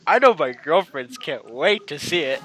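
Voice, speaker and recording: Bright-voiced man hosting a gaming channel. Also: a little high, expressive, moderate pace, faint music behind. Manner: rambling, repeats himself, addresses viewers as guys. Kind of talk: casual let's-play commentary and outro.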